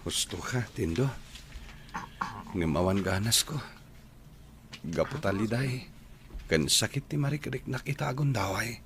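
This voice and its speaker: An actor with an Ilocano accent playing a lovesick young student, pleading earnestly in a radio drama. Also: male voice, lowish voice, measured pace, pauses often, fairly bright tone, a little hiss or hum. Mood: dejected.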